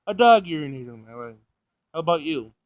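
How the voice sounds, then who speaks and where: A man's voice: on the high side, very animated, fairly quick, no room sound. Visual novel android, read by a man: female machine, literal-minded, formal and emotionless in delivery. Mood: clinical, blunt. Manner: pedantic, clinical, formal wording.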